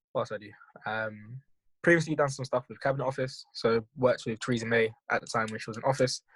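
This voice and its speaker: A man's voice: medium pitch, slight background noise, poor audio. Young man chatting on a call. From Netherlands, raised in London. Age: young adult. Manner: relaxed, says "bro" often, laughs easily. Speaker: podcast co-host. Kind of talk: casual chat on a video call. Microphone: video call.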